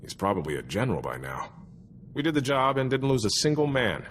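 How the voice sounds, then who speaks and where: An actor playing an easygoing, chatty and wry young army soldier in a video game, speaking in a musing, conversational way. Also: male voice, medium pitch, sing-song, fairly quick, slight background noise, close to an everyday voice. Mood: wry, reminiscing. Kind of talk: video game cutscene dialogue.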